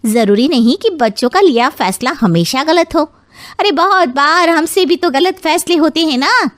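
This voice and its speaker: A woman's voice: high-pitched, lively intonation, moderate pace, fairly bright tone, no echo, studio quality. A woman playing a sensible older woman friend in a family story, reasoning persuasively. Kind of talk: dramatized family moral story.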